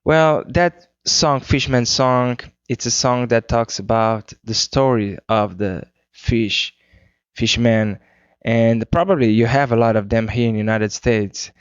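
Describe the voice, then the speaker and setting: A Cape Verdean man whose first language is Creole, answering interview questions in English with unhurried speech, searching for words. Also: medium pitch, steady tone, smooth, no echo. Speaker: guest singer-songwriter. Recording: muffled 2009 radio interview.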